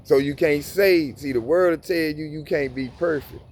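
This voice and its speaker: A man's voice: a little high, normal speed, darkish tone, a little hiss or hum. A man giving informal Bible reading and commentary in a casual preaching manner, often restarting and repeating words. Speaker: Bible teacher.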